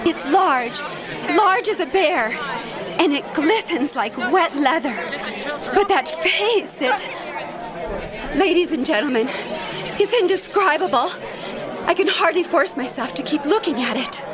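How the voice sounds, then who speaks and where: Actress for radio-play field reporter: high female voice, earnest and urgent, easily awestruck, over busy crowd noise. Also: unhurried, pauses often. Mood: alarmed, awestruck. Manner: dramatic, urgent, stammering in excitement. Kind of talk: radio drama acting, live on-scene news report. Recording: music and crowd noise behind. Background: music, busy crowd.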